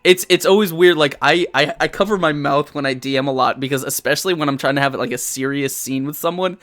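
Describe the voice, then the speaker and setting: A man's voice: a little high, fast, a bit bright. A man talking as a guest on a live stream about running tabletop games, eager, repeating words and laughing easily. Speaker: guest game master and streamer. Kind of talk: casual live-stream chat.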